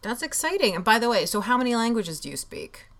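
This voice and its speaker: A woman's voice: a little high, sing-song, fast talker, a bit bright. A woman hosting an interview, reflective and enthusiastic, with many fillers as she talks. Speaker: podcast host. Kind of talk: conversational interview.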